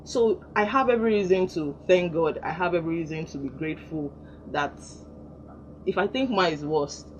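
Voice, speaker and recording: Soft-spoken Nigerian gospel singer woman. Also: lowish voice, normal speed. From Delta State, Nigeria. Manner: soft-spoken, earnest, devout. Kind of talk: radio interview. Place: radio studio. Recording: lo-fi broadcast, faint noise.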